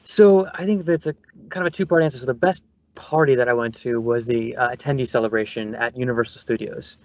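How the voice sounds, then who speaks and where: A man's voice: medium pitch, fast, dull audio. A man presenting as a guest consultant, talking casually from a small soundproof phone booth. He is good-humored and laughs easily. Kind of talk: casual conversation.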